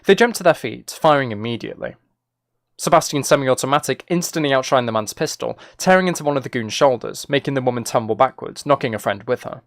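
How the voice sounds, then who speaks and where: A man reads fiction as a dramatic narrator. His medium-pitched voice moves with lively intonation. The sound is dry, with hardly any echo. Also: fast talker, gravelly. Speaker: author and streamer reading own book. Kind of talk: scripted narration, dramatic audiobook reading.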